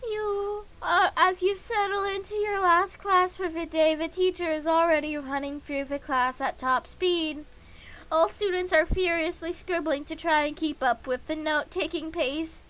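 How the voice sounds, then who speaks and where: Woman, very high voice, slow pace, excitable, casual game streamer reading aloud. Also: low-quality recording. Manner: excitable, gushing, giggly. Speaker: livestreamer reading a visual novel aloud.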